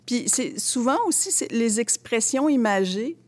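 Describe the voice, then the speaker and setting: A woman with a Quebec French accent giving reflective, conversational interview answers, expressive and often searching for her words. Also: a little high, measured pace. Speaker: interview guest, theatre translator.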